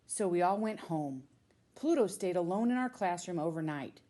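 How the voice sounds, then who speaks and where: Animated American woman reading a children's story. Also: fairly low pitch. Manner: expressive, drawn-out emphasis on key words. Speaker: middle school science teacher reading a children's book. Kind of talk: read-aloud storytelling for children.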